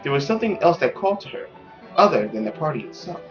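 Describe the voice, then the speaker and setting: A man's voice: a little high, fast talker, highs cut off, soft background music. A man hosting a gaming video, reading a visual novel aloud and adding chatty commentary. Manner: animated, chatty.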